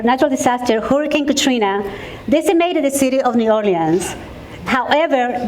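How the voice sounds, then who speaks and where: A woman gives a conference presentation, halting with fillers, then reading steadily. Her voice sits a little high for a woman. Faint noise hangs under the recording. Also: low-quality recording. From Honduras. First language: Spanish. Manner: hesitant, many fillers at first. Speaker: program manager. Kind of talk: conference presentation, partly read.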